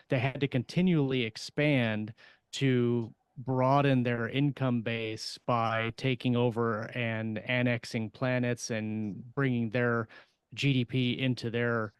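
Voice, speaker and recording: Clear-voiced man, close and dry, thinking aloud. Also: mid-range voice, no echo. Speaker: podcast co-host. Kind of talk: casual panel discussion.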